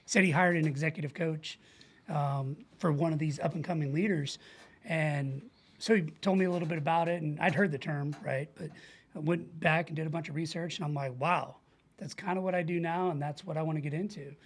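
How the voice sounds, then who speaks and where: A man's voice: fairly high pitch, steady tone, a little fast. A middle-aged American man speaking earnestly and informally as a coach being interviewed, often illustrating with examples. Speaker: podcast guest, leadership coach. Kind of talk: casual conversational interview.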